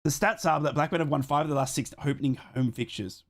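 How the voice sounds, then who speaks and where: A man's voice: on the high side, fast talker. A man hosting a live football watchalong, chatting casually, reading out live scores and thanking viewers for donations, a bit sick and losing his voice.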